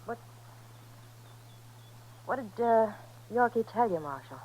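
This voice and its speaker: A woman's voice: fairly high pitch, animated, takes it slowly, a little mellow, low-quality recording. A woman playing a young, hard-edged, world-weary ranch wife in a 1950s radio Western, folksy and evasive. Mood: wary. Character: young, restless, hard-edged ranch wife, former dance-hall girl.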